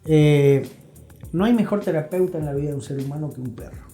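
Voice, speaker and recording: An Argentine man speaks as an interview guest with a soft, mellow tone. He explains and advises in an emphatic, conversational way, repeating phrases to drive points home. The room is audibly echoey, with music playing behind him. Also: fairly high pitch, fairly quick. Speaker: interview guest, health professional. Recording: roomy echo, music behind.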